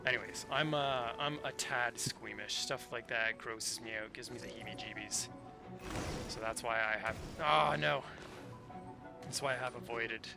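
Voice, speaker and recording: A man's voice: mid-range voice, fairly even intonation, normal speed. A man chatting casually in a slangy, rambling way as he streams a video game. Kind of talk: casual chat while playing. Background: game music.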